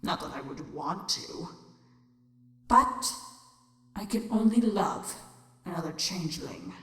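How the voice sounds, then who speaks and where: A woman playing a menacing, bitter, weary changeling queen in an audio drama, slow and theatrical, often sinking toward a whisper. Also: lowish voice, expressive. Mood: proud, wistful. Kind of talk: audio drama acting of fan fiction. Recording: very echoey, long hall reverb. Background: music.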